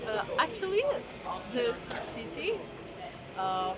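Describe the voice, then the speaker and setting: A woman's voice: a little high, sing-song, takes it slowly. A woman giving casual, informal answers as an interviewee on the street. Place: outdoors in a city street. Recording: distant, loud traffic noise.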